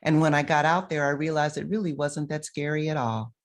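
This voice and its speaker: Deep-voiced motivational woman guest. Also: steady tone, brisk. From United States. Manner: emphatic, motivational. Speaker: fitness and mind-body coach, guest. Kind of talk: casual interview talk.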